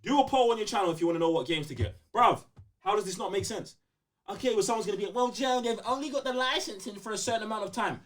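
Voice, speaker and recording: A high-voiced man with a London accent hosts a casual podcast. His pitch swings wildly as he rants, hammering phrases again and again in heavy slang. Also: expressive, fast. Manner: ranting, emphatic, repeats phrases for emphasis, slangy. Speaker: podcast host. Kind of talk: heated casual gaming podcast banter.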